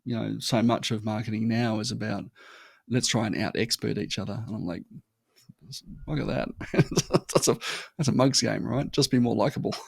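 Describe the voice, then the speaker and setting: Gravelly Australian man, chatty podcast guest. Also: on the low side, moderate pace, no echo, clean studio sound. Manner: animated storytelling, acts out customer voices. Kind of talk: conversational interview.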